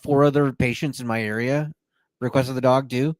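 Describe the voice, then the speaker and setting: A man with a mid-range voice co-hosting a podcast, talking at length in a loose storytelling way. He fills pauses freely and circles back as he goes, recorded dry and close. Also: medium pitch, steady tone, no echo. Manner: talkative storyteller, many fillers. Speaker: podcast co-host. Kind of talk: casual podcast chat.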